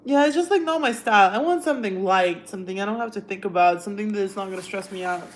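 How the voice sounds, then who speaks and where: A woman's voice: medium pitch, fast talker. A young woman from Russia with a Russian accent, chatting casually on a livestream and answering viewers' comments. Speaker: livestream host.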